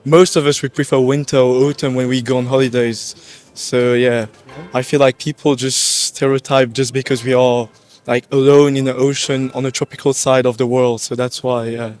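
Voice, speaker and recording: A man's voice: medium pitch, flat delivery, moderate pace, darkish tone. A man from Mauritius giving matter-of-fact answers in a street interview.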